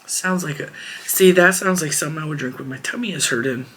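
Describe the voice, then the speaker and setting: Woman, hoarse, casual rambling vlog chat, friendly to viewers, faint background noise. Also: deep voice, quick pace. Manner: chatty, rambling and warm toward viewers. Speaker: crochet channel host.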